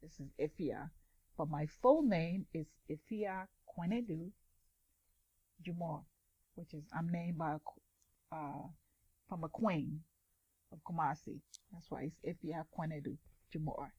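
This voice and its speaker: Low-voiced woman guest explaining with enthusiasm. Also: warm tone, no echo, muffled recording. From Ghana, father's side. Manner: enthusiastic, explaining slowly with pauses. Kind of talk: casual interview chat.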